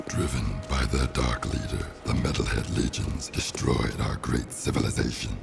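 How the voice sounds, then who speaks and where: An ancient stone oracle, solemn and formal. The voice is extraordinarily low and heavily gravelled, pronouncing each line portentously over game music. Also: male voice, full character voice. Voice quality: gravelly, booming. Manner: slow-burning, portentous, formal. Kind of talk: epic video game cutscene acting. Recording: music under the voice.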